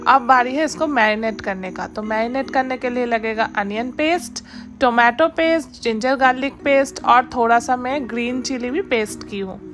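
High-voiced woman narrating a cooking recipe. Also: moderate pace, faint music behind. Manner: instructive, steady, mixes English words into Hindi. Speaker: home cooking channel host. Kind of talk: step-by-step cooking voice-over.

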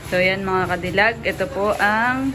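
A young woman hosting a home vlog, speaking softly, cheerfully and politely to her viewers at a family gathering. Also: mid-range voice, moderate pace, over a noisy crowd. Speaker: vlog host. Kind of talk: casual vlog chat. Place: small home, indoors.

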